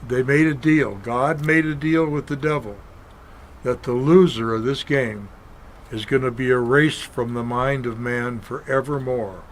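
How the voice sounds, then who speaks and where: An older man raised in Kentucky, a former stuntman and filmmaker, telling stories as a talk show guest in a plain, unhurried way. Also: medium pitch, fairly even intonation, slight background noise. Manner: unhurried, plain storyteller, acts out quoted dialogue. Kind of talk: storytelling in an interview.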